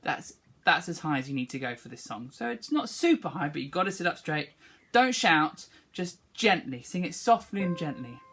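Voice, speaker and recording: A woman's voice: low voice, big pitch swings, moderate pace, a bit bright, soft background music. A woman teaching children a song, speaking encouragingly and singing short phrases for them to repeat. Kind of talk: online singing lesson, call and response.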